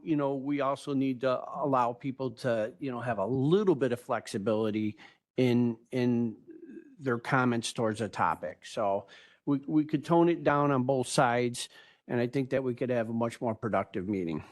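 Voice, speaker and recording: A man's voice: mid-range voice, moderate pace, darkish tone. A man on a county board making conciliatory remarks at a public meeting, often restarting his sentences.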